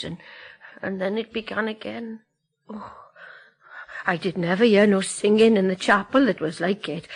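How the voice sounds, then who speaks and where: An actress playing a frightened, superstitious Welsh countrywoman who tells her tale haltingly, in a radio drama. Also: mid-range voice, slightly rough. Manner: halting, drawn-out storytelling.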